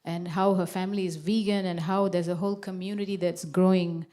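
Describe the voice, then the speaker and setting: A woman from Malaysia, an actress speaking at a conference, telling personal stories in a chatty way with many pauses and ums. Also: on the low side, steady tone, dark tone. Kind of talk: casual personal talk on stage. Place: conference hall.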